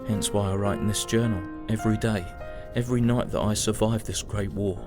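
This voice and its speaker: Casting: male actor as weary young British Great War soldier, lowish voice, measured and reflective journal monologue over prominent music. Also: fairly even intonation, close to an everyday voice. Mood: sombre, reflective. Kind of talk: dramatic first-person audio drama monologue.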